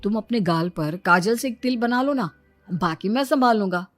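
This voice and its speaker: A woman's voice: medium pitch, animated, normal speed, soft background music, close to an everyday voice. A woman voicing an earnest, persuasive young man, a son and husband. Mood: insistent.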